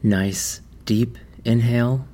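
A man leading a guided meditation in a measured, deliberate manner with long pauses. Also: mid-range voice, fairly even intonation, mellow.

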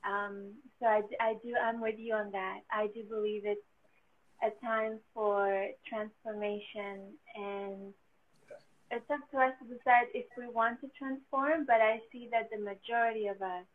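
A woman with a French accent speaks in a fairly high voice as a guest in a casual chat. She laughs often and sounds hopeful and full of faith. The sound is thin and narrow, like a phone line. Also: fairly even intonation, a little slow. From France. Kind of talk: casual conversation.

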